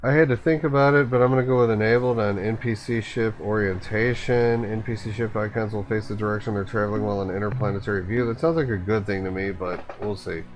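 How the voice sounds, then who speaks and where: A man giving a casual step-by-step software tutorial, informally thinking aloud as he goes. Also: fairly low pitch, fairly even intonation, quick pace, hardly any pauses. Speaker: tutorial host.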